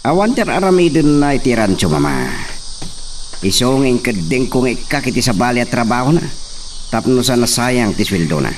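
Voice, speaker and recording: A man's voice: medium pitch, big pitch swings, moderate pace. A man acting a commanding young landowner's son in an amateur drama, speaking to workers and a young woman. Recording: distant mic with faint outdoor noise.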